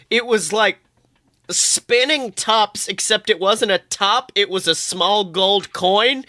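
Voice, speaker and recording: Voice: medium pitch, pushed and bright, no echo, clean studio sound. A podcast co-host from the United States chatting casually, telling rambling, joking stories with plenty of swearing. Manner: profane, joking, rambling storytelling. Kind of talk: casual comic podcast chat.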